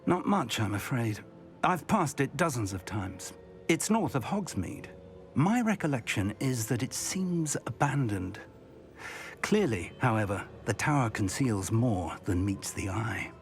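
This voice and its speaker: A man's voice: mid-range voice, very animated, a little slow, soft background music. A man voicing a professor and mentor who speaks politely and earnestly.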